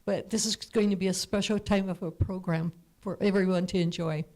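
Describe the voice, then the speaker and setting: An older woman with a naturally loud voice making a friendly church announcement into a handheld microphone. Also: mid-range voice, steady tone, brisk. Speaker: event organizer. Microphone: handheld mic.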